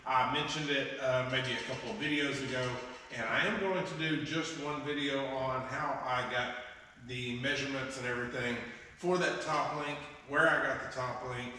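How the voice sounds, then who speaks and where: A man's voice: medium pitch, moderate pace, sharp tone, slight background noise. A man, getting over a head cold, hosting a casual farm how-to vlog outdoors and rambling with many fillers. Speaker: farm vlogger. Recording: distant, echoey outdoor camera audio.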